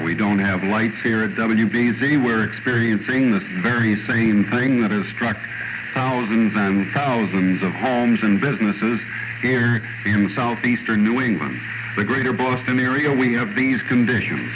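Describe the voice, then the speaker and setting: A man reading a live emergency radio bulletin in a calm, steady delivery. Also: fairly low pitch. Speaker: radio newsman. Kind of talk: live emergency news broadcast. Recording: noisy 1950s radio archive.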